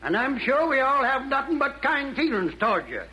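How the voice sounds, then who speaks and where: Very high-pitched, prim, snide fiend. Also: male voice, very animated, normal speed, sharp tone, heavily acted. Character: high-voiced fussy fiend, scornful of women. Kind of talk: 1940s radio comedy acting. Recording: old 1940s radio broadcast.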